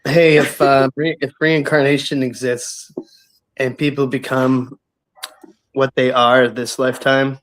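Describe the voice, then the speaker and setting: A man's voice: mid-range voice, little pitch movement, a little slow, fairly bright tone, low-quality recording. Supportive man chatting on a video call. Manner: supportive, many short affirmations. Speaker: guest. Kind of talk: casual video call chat.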